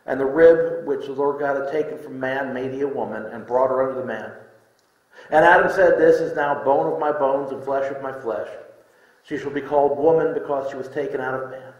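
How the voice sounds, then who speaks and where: A middle-aged man preaching a sermon in deliberate short phrases with pauses, reading scripture from a lectern. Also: mid-range voice, steady tone, darkish tone, lo-fi sound. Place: indoor room, speaking from a lectern. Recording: echoey room.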